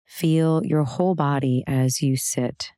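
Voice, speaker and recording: A woman leads a guided meditation in a strikingly low, mellow voice. Her delivery is calm and gentle, leaving space between instructions. The sound is close and dry, with little trace of a room. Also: takes it slowly, clean tone, no room sound. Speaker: meditation and yoga teacher. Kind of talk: guided meditation, soothing scripted narration.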